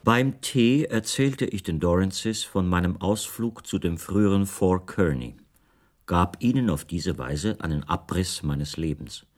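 Low-voiced, reflective male writer narrating. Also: lively intonation. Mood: recollecting. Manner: measured, precise, formal. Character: reflective male writer, a foreign guest recounting his disappearance. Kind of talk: literary radio drama first-person narration.

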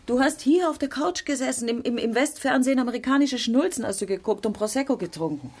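An actress playing an embittered, outspoken West German politician in a radio crime drama, with a husky voice and sardonic, emphatic delivery. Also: female voice, on the high side, expressive, fast, a little mellow. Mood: scornful, angry. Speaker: lead actress and first-person narrator.

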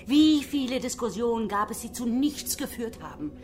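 An actress playing a stern job centre director, formal and reproachful in a bureaucratic way, in a German radio drama. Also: female voice, on the high side, lively intonation, moderate pace, a little mellow, soft background music, lightly acted.

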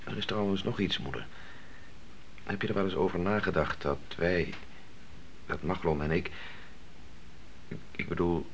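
An earnest grown son in a radio play, with a low-pitched voice. He questions and argues cautiously, often halting before he gets to the point. The old recording carries faint noise. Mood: hesitant, uneasy. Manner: hesitant, halting, argumentative.

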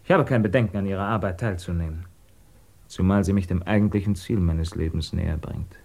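An earnest émigré scientist in a 1950s German radio drama. His voice sits fairly low. He chooses his words formally and politely, guarded yet resolute. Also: fairly quick, vocal fry, dark tone.

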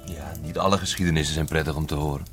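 An actor playing a grave government man in a radio play, measured and earnest. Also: rumbling low, steady tone, over music.

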